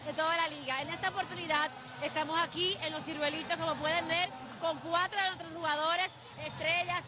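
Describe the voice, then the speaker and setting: A woman's voice: extremely high pitch, low-quality recording. A young woman from Santiago with a Dominican accent, speaking fluently and upbeat in a live interview on an outdoor court. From Santiago, Dominican Republic. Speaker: sports journalist and team spokesperson. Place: outdoor neighborhood basketball court. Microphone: handheld held by interviewer. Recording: crowd and noise outdoors.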